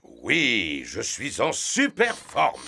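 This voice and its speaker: A man's voice: mid-range voice, huge pitch swings, drawn-out words, vocal fry, sharp tone, exaggerated voice. A man voicing a cheerful, boastful big-bellied daddy pig who coaches children in a cartoon. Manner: boastful, jovial, instructing.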